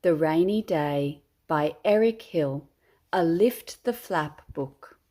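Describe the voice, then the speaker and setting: An Australian woman, a teacher, reading a children's picture book aloud with deliberate, expressive phrasing. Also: sits low. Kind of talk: children's storytime read-aloud.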